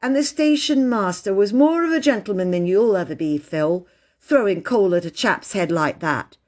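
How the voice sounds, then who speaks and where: A woman's voice: medium pitch, lively intonation, moderate pace, a little mellow, lightly acted. A woman voicing a proud, offhand schoolboy who is impatient with his sister, in a children's storybook. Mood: scornful.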